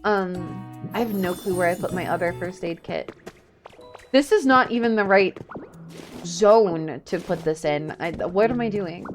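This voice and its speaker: Slow-paced chatty woman streamer over faint music. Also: fairly low pitch. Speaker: streamer, VTuber. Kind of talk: casual livestream chat while playing a video game.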